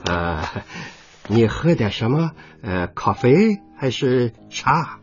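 An actor playing a polite American war veteran in a radio drama, courteous and full of hesitations. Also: male voice, mid-range voice, very animated, takes it slowly. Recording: dull lo-fi, music underneath.

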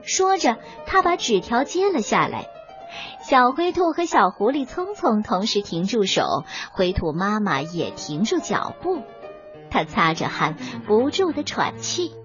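A woman narrating a scripted children's story with expressive, acted storytelling. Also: on the high side, unhurried, highs cut off, over music.

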